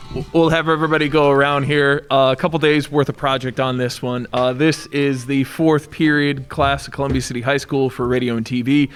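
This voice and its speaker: A man's voice: medium pitch, level tone, a little fast, clean tone, faint music behind. A man speaking as a teacher hosting an informal student podcast, casual and encouraging, with hesitant phrasing. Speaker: high school radio and TV teacher.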